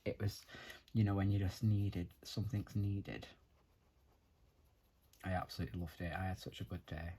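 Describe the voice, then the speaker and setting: Young man, low-pitched and husky, croaky from a night out, chatty colouring-channel host. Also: steady tone, moderate pace, long pauses, mellow. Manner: chatty, rambling with many "um"s. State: tired, croaky after a night out. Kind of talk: casual chat while colouring.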